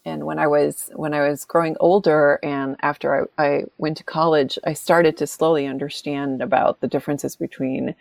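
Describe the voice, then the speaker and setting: A middle-aged American woman with a low, gravelly voice tells stories in a relaxed interview. She laughs often and drops into other people's voices as she quotes them. The sound is close and dry. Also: normal speed, few pauses, no room sound. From United States. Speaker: author, interview guest. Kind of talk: casual interview conversation.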